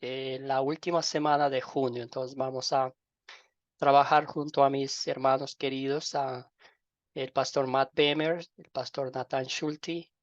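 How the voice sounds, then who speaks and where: A middle-aged man with an Ecuadorian accent, a pastor informally welcoming an online class in a halting way, repeating words and using fond diminutives. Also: mid-range voice, level tone, no echo. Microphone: online group call microphone. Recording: dull lo-fi online call audio.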